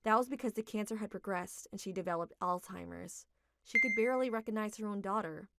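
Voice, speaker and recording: A woman's voice: mid-range voice, a little fast, vocal fry, very noisy. A young adult woman narrating a manga dub in a reflective, emotional way.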